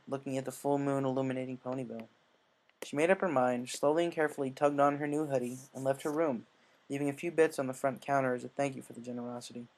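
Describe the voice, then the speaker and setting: A man reading a scripted story as narrator, in a measured way with occasional stumbles. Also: medium pitch, rough recording. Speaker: story reader.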